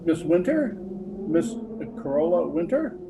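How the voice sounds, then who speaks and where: A man's voice: on the high side, sing-song, measured pace, vocal fry, background noise, heavily acted. A man playing a plain-spoken police detective sergeant in an old-time radio drama, with a hesitant, folksy, polite manner. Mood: polite, probing.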